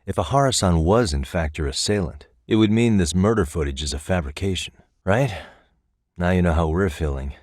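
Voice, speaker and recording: An American man voicing a level-headed, thoughtful detective in a video game, with measured, articulate delivery as he reasons aloud. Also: low voice, darkish tone, no echo, studio quality. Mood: analytical, serious. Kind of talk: scripted game dialogue.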